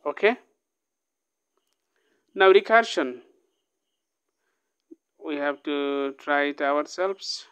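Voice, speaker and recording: A man's voice: a little high, very slow, long pauses, a bit bright, smooth. A man teaching a programming lesson, reading code aloud line by line and often checking in with "okay?". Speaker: programming tutor. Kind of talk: casual screen-recorded coding lesson.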